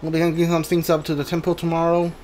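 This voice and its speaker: A man's voice: fairly high pitch, completely flat delivery, fast, darkish tone, slight background noise, rough recording. A man giving casual video game playthrough commentary, mumbling his thoughts aloud as he plays. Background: game music.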